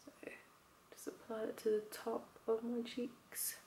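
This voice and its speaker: A woman's voice: fairly high pitch, monotone, a little slow, creaky, dark tone, in a whisper. A young woman with a slight cold, chatting her way through a makeup tutorial with plenty of ums. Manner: chatty, friendly, lots of ums. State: has a cold, slightly nasal. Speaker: beauty vlogger.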